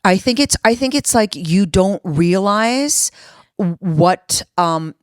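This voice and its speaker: A woman from Canada speaking as a podcast guest, thoughtful and halting, with many restarts and pauses. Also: mid-range voice, lively intonation, no echo, clean studio sound. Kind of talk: reflective conversation.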